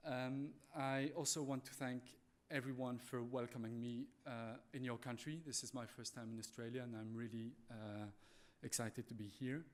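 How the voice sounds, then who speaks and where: A man with a French accent giving a conference presentation in a halting, polite, apologetic way. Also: medium pitch, little pitch movement. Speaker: conference speaker, animal welfare manager.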